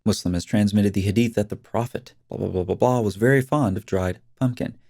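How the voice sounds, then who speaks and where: A man delivers scripted commentary in a mocking, sarcastic tone. His voice sits low and mellow. The sound is dry and close, with no room around it. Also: a little fast, vocal fry, dry recording. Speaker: commentary video host.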